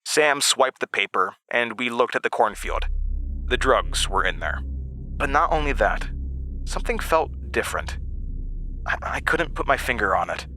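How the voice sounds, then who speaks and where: A man's voice: mid-range voice, animated, normal speed, bright, forceful tone. A man playing an earnest, rueful narrator of a horror audio drama, recounting his childhood in conversational, confessional asides. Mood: uneasy. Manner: conversational, hesitant asides to the listener.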